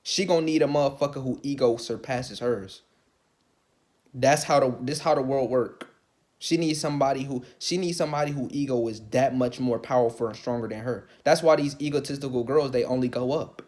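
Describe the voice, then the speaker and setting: Man vlogger, unscripted rant, profane and repetitive, mid-pitched, breaks into loud excited peaks. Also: brisk. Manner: swearing, rambling, repeats himself, rises to loud excited outbursts; calls his own voice light. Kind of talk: casual rant to camera.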